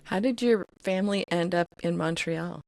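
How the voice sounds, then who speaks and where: A woman hosting a podcast, asking measured follow-up questions in an interview. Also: lowish voice, gravelly, darkish tone, no room sound. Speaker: podcast host.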